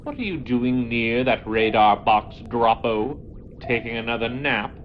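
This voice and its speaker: A woman's voice: rumbling low, a little slow, muffled recording, a little hiss or hum. A woman playing a sadistic, scornful Martian henchman in a comic audio drama, sarcastic and menacing. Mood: scornful suspicion.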